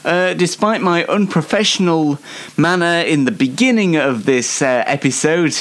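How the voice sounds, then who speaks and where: A man's voice: a little high, normal speed, fairly bright tone. A twenty-year-old man hosting his own show in a rambling, self-mocking, comedic chat with playful theatrics. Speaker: host of a YouTube show. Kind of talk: chatty comedic monologue.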